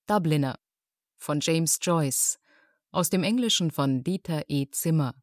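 A woman reading a scripted announcement as a radio announcer, formal and clearly phrased. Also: low voice, a little slow, dry recording, studio quality.

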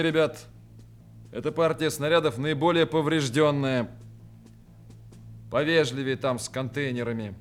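An actor playing a gruff, weary army major who commands and grumbles, in a radio play. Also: male voice, mid-range voice, close to an everyday voice. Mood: commanding, tense.

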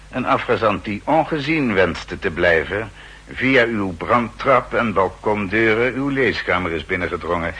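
An actor playing a formal, dryly polite aide to a spymaster in a 1960s radio drama, deferential, measured and precise. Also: male voice, sits low, bright, forceful tone, husky, heavily acted. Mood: dry, pointed. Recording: 1960s radio recording, faint hum and noise.

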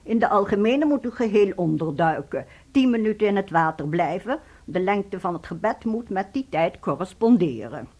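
An actress playing a pious, businesslike nun in a radio play, formal, measured and matter-of-fact. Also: female voice, medium pitch, expressive, darkish tone, slightly rough. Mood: brisk, formal. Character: businesslike, pious nun directing pilgrims at holy springs.

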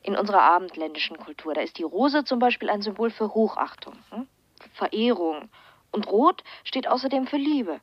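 An actress playing a knowledgeable psychoanalyst in a German radio crime drama, explaining things in a firm, conversational, probing way. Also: female voice, medium pitch, lively intonation, moderate pace, no room sound, natural voice. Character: probing, knowledgeable female psychoanalyst, on familiar terms. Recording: slightly dull, band-limited sound.

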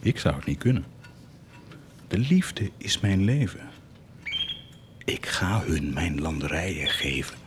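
A man's voice: sits low, sing-song, a little slow, pauses often, noisy background. An actor playing a proud, fervent nobleman set on doing good, declaiming with theatrical intensity in a radio drama. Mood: intense resolve. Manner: declamatory, impassioned, swinging to hushed intensity.

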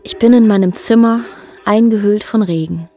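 An actress playing a searching, exhausted woman who recites her inner thoughts in terse, deliberate fragments for a literary radio play. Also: medium pitch, dark tone, faint music behind, close to an everyday voice. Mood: searching, bleak.

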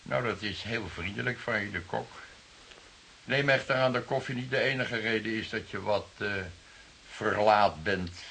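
Bright-voiced, stern police commissioner. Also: male voice, fairly low pitch, moderate pace, creaky, a little hiss or hum. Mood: stern, formal. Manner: authoritative, clipped, formal. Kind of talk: Dutch radio drama acting.

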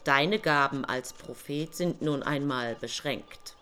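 A woman's voice: rumbling low, takes it slowly, fairly bright tone, faint music behind, exaggerated voice. A woman playing a practical, nagging angel in a radio play, persuading in a dry, matter-of-fact, teasing way. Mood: cool persuasion. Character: cool, nagging female angel, practical and needling.

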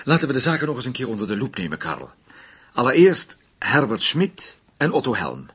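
A methodical chief of detectives in a radio drama. His husky voice moves widely up and down in pitch. He is authoritative and insistent, used to giving orders. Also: sing-song, brisk, a bit bright, full character voice. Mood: measured, probing.

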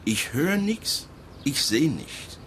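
A man's voice: fairly high pitch, very animated, takes it slowly, fairly bright tone, a little hiss or hum, full character voice. An actor playing a wary, evasive working-class man with folksy, ungrammatical speech in a German radio drama. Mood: wary, stubborn.

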